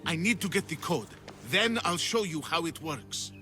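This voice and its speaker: An anxious, scholarly sculptor for a video game. He is effusive and fussy, with a bright, high voice that swings widely in pitch. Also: animated, moderate pace, faint music behind. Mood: excited, insistent. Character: anxious, excitable hunted male Greek sculptor, theatrical and scholarly. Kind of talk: dramatic video game acting.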